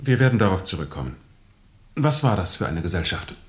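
An actor playing a sober, persistent official interrogator in a radio play, formal and probing. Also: male voice, low-pitched, big pitch swings, brisk. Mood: insistent.

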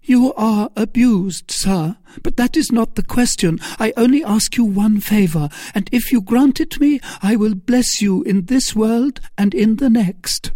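A narrator voicing a melodramatic woman schemer in an audiobook novel, feigning pious innocence with breathy, imploring pleas. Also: medium pitch, a little slow, few pauses, mellow, no echo, pitched above the performer's own voice, close to an everyday voice. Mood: desperate pleading.

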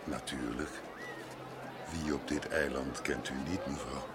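An actor playing a calm, self-assured wandering prophet in a radio drama, gravelly, measured and courteous with gentle irony. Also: male voice, extremely low pitch. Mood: courteous, ironic. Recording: noisy radio play amid loud crowd.

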